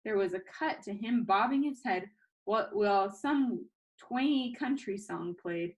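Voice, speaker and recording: A woman reading a storybook aloud as a teacher, with expressive narration and an informal manner. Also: mid-range voice, rough recording. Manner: informal, chatty asides.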